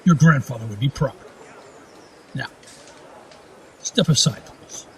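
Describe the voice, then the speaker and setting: A man's voice: mid-range voice, a little hiss or hum, lightly acted. Man playing a dignified, gracious fantasy duke. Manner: measured, gracious, formal. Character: magnanimous noble duke, dignified veteran lord. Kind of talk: fantasy radio drama acting.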